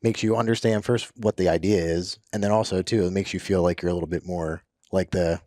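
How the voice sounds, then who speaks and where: A man's voice: low-pitched, fairly even intonation, a little fast, darkish tone, no echo, studio quality. A man hosting a podcast, asking long, winding questions with many restarts in a casual chat. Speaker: podcast host.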